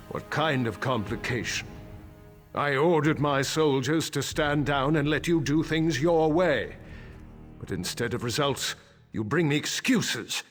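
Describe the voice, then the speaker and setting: Fantasy game: male actor as haughty, stern elf councilor, deliberate slow delivery, music faint underneath. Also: mid-range voice, natural voice. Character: imperious dark elf councilor, stern father. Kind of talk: fantasy video game dialogue.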